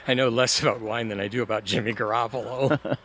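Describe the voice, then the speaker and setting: A man's voice: mid-range voice, steady tone, normal speed, a bit bright, slight background noise, natural voice. A man playing a hapless, good-natured tennis pal in audio theatre, bewildered and self-deprecating. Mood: rueful, bewildered.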